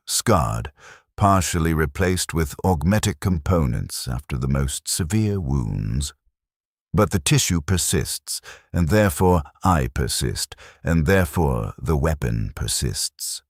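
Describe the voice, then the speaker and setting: A war-numbed veteran heavy gunner played by a man tells his story in a rock-bottom, raspy voice. The delivery is grim and resigned, yet the pitch moves expressively through the deliberate dramatic narration. The sound is close and dry. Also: dry recording, full character voice. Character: war-numbed veteran male heavy gunner, scarred, fatalistic, dystopian sci-fi soldier. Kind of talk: scripted first-person dramatic narration.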